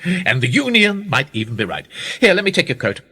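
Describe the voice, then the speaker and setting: A man playing a genial, wry gentleman receiving a visitor in a radio play, courteous and philosophical in manner. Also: a little high, sing-song, fairly quick, slight background noise, heavily acted.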